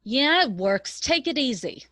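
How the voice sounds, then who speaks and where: A woman's voice: high voice, sing-song, measured pace, a bit bright, dry recording, studio quality, heavily acted. An actress playing a plain-spoken, practical radio woman with a folksy, take-charge manner in a radio drama. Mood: reassuring.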